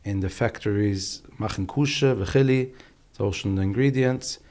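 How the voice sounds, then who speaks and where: Calm low-voiced man in his forties. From England. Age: 40s. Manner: calm, unhurried, mixes languages freely. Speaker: podcast guest, business leader. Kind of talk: relaxed interview storytelling.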